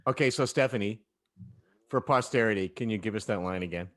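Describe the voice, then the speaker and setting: A man with a fairly low voice leads a relaxed panel chat among actors. He speaks with enthusiasm and draws the others into the conversation. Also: a little fast. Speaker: cast member and director hosting a cast discussion. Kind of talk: casual panel chat about an audio drama.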